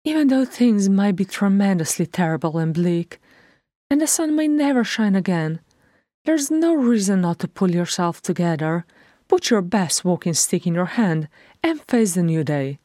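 A woman's voice: mid-range voice, expressive, moderate pace, mellow, no echo, clean studio sound, pitched above the performer's own voice, close to an everyday voice. A woman voicing a fussy, scolding middle-aged male hobbit in a fan audiobook reading, chiding and exasperated.

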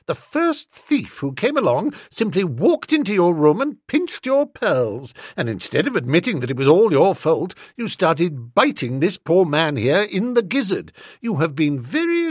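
A male reader plays a young English toff, foppish and breezy, in a comic storybook. His posh British voice rides high and leaps about with blustering emphasis, close and dry. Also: huge pitch swings, normal speed, no echo, heavily acted. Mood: stern, indignant. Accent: British upper-class.

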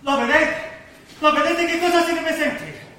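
A man's voice: very high-pitched, normal speed, bright, forceful tone, far from the mic. An actor playing a sardonic, cheeky hunchbacked man on stage, mocking and mock-servile. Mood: mock indignant. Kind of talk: theatrical stage acting. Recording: noisy, very echoey stage recording from afar.

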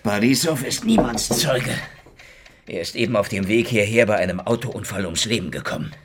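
An actor playing a sardonic, hardboiled Soviet secret police investigator, dry and probing, in a German radio crime drama. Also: male voice, lowish voice, normal speed, noisy background, lightly acted.

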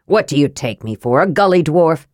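A woman voicing a grumpy old male dwarf warrior in a fantasy audiobook, with a gruff and dour manner. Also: low-pitched, animated, moderate pace, a little mellow, no echo, studio quality.

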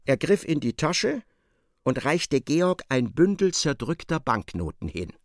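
Casting: articulate man for literary radio-play narration, lively and expressive, dry close-miked studio delivery. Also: fairly high pitch, a little slow, no room sound. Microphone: close studio microphone.

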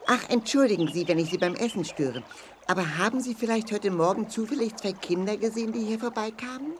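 An actor playing a friendly, sensible and polite leader of travelling companions in a children's audio play, expressive and clearly spoken. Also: medium pitch, a little fast, lightly acted. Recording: faint noise with birdsong.

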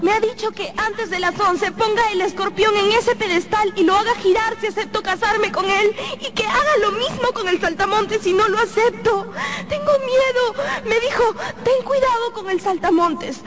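A woman's voice: very high-pitched, moderate pace. A woman playing a frightened young heroine in a radio drama, pleading with urgency. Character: frightened young woman in mortal peril, Cristina.

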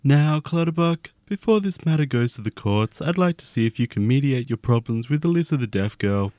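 A man in a comedy podcast, playing a mediator in a sketch and delivering his lines in a deadpan, matter-of-fact way. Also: medium pitch, brisk, background noise.

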